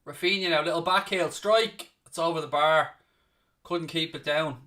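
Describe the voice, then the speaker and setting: A man's voice: on the high side, normal speed, bright, forceful tone. A young man with a Dublin Irish accent hosting a live football watchalong, mixing play-by-play calls with blunt, chatty answers to viewers. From Ireland. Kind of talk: casual live match commentary and chat with viewers.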